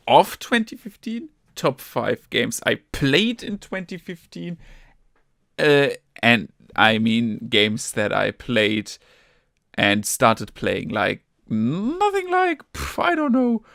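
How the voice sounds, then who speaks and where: A man's voice: medium pitch, creaky, a bit bright, no room sound, clean studio sound. A young man from Germany with a German accent, hosting a casual gaming podcast, rambling with many fillers, restarts and casual swearing. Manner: rambling, lots of fillers and self-corrections. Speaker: podcast host.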